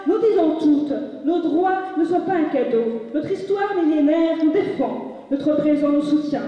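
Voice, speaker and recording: A high-voiced woman reads texts aloud in a slow, declamatory way. Her voice rings through a large hall with a long reverb, picked up from far off. The recording sounds dull and muffled. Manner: declamatory, measured. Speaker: reader at a musical reading. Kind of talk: dramatic reading of texts. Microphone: distant from the mic.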